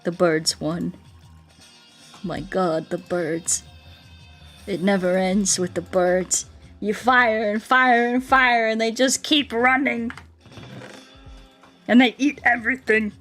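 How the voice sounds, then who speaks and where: Woman, unhurried pace, casual game-stream chatter, giggly, playful, mock-earnest, faint music behind. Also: mid-range voice, steady tone, fairly bright tone. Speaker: video game livestreamer. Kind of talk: casual chat with viewers while playing.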